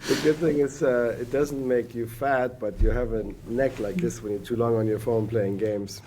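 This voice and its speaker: Podcast guest, German-native man, 40s, mellow, halting and rambling. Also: mid-range voice, steady tone, a little hiss or hum. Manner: rambling, many hesitant restarts and fillers. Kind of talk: casual podcast conversation.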